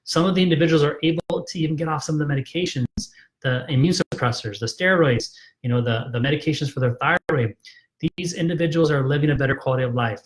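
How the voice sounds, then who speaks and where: A man's voice: on the high side, quick pace, poor audio. A man, a chiropractor, giving an emphatic, persuasive health talk in a live video, frustrated and repeating himself with frequent "right?" tags.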